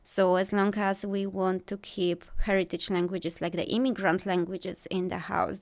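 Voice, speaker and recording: Polish lady chatting on a talk show, lots of like and you know, bit of fry in her voice. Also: on the low side. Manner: thoughtful, explanatory, frequent "like" and "you know". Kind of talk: casual interview talk.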